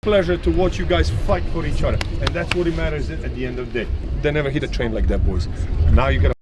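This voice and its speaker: A man's voice: on the high side, fast. A male coach giving an intense, rallying pep talk to his team outdoors. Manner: intense, rallying, leads chants. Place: outdoor sports field. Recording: noisy outdoor crowd and traffic. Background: crowd and vehicle noise.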